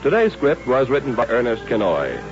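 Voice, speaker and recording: Animated American old-time radio announcer, male. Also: mid-range voice, normal speed. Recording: dull old radio recording with faint music.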